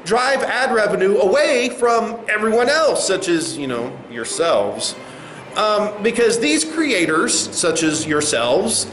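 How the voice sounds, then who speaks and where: A man playing a condescending, contemptuous corporate spokesman in a satirical comedy sketch, talking in halting mock-official phrases. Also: high-pitched, a bit bright, reverberant, slight background noise, exaggerated voice, low-quality recording. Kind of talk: satirical press conference acting.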